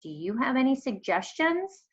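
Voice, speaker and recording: A woman's voice: high-pitched, big pitch swings, moderate pace, breathy edge, voice pushed up, exaggerated voice. Young girl, read by a woman, inquisitive and plain-spoken storybook heroine, direct delivery, dull recording. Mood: curious.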